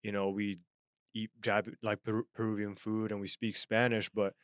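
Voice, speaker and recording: A man's voice: on the low side, normal speed, clean tone, dry recording, clean studio sound. A young man from Japan co-hosting a podcast, talking earnestly in a casual chat with frequent restarts. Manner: earnest, animated, many restarts. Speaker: podcast co-host.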